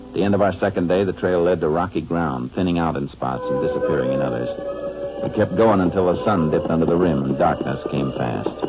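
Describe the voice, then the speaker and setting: A man acting a calm, decisive Texas Ranger with an American accent and a terse, authoritative manner in an old radio drama. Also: low-pitched, brisk. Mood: measured narration. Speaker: lead actor and narrator. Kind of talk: 1950s radio acting and narration. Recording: dull old radio recording with loud music.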